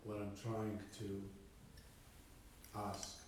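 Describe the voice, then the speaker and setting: Hesitant low-voiced man, distant and echoey. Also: monotone. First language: Hungarian. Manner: hesitant, many pauses and fillers. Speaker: student asking a question. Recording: distant, very echoey with faint noise.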